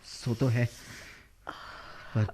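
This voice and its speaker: A man playing an earnest, humble village schoolteacher in a radio drama, husky, hesitant and full of feeling. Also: extremely slow, warm tone. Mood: concerned. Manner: deferential, hesitant, emotional. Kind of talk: Hindi radio drama acting.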